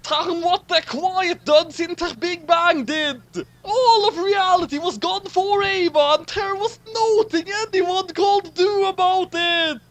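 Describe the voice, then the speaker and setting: Man putting on a loud, high-strained bombastic storyteller. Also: a little slow, few pauses. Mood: bombastic, frantic. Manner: shouting, melodramatic declamation. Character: bombastic, over-excited storyteller reading a doomsday sci-fi tale. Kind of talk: mock-epic dramatic reading.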